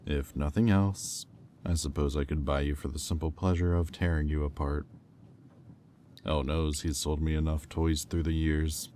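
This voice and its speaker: Guy doing a super deep demon for roleplay audio, smug and cruel, slow mocking drawl, bit of background noise. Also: fairly even intonation, warm tone. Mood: threatening, taunting. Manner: condescending, deliberate, taunting drawl. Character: haughty, menacing male demon buyer, coolly cruel and mocking.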